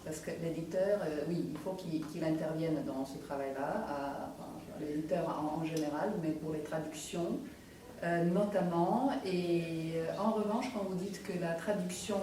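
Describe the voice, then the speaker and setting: A woman's voice: sits low, unhurried. A woman from Croatia, a native Croatian speaker, talking as a translator on a panel, often repeating words as she searches. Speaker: round-table panelist, literary translator. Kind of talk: spontaneous round-table discussion. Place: reverberant room at a round-table event. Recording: very echoey room, distant mic, faint noise.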